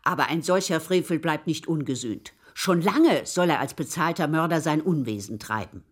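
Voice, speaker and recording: Tiefe, belegte, fromm eifernde Magd. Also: female voice, low-pitched, animated, moderate pace, breathy edge. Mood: indignant. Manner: fervent, reverent, formal archaic address. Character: pious, superstitious Italian maidservant, fervent and reproachful. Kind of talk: German radio play acting.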